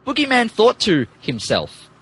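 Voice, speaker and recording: A man's voice: sits high, wildly swooping pitch, measured pace, a bit bright, husky. A man narrating a story in an amused, theatrical, mock-dramatic way, as a podcast co-host.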